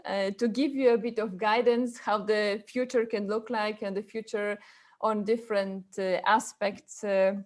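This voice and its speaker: A woman from Poland with a Polish accent gives an informal online presentation, speaking in halting phrases with repeated words. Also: mid-range voice, darkish tone. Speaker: webinar presenter, chapter president.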